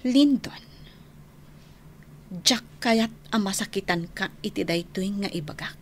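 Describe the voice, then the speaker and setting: A woman's voice: medium pitch, measured pace. A woman acting a reluctant young woman who firmly and earnestly turns down a suitor in an Ilocano radio drama. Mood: pained, firm.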